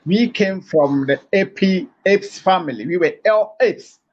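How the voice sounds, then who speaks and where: A man from Africa speaks through a muffled, lo-fi call line, his voice sitting a little high. He argues slowly in a lecturing way, stopping often to restart his sentences. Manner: hesitant, many fillers and restarts. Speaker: caller. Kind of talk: halting lecture-like argument.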